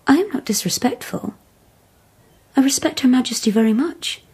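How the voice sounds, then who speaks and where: An actress playing a strange young wild girl in a radio drama, breathy and confused, speaking in halting fragments. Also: sits high, animated, a little mellow, heavily acted. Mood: defensive, earnest.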